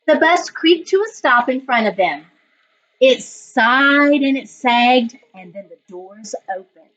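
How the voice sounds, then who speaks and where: A woman reading coach reading a children's story aloud in an expressive, deliberate storytelling manner. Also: high voice. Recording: dull lo-fi online recording.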